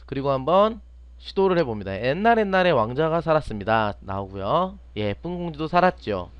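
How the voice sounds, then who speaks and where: Lively-pitched male tutorial instructor, slightly muffled. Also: brisk, clear, resonant tone, no room sound. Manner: asks and answers own questions. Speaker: teacher, online programming instructor. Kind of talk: step-by-step screen tutorial, unscripted.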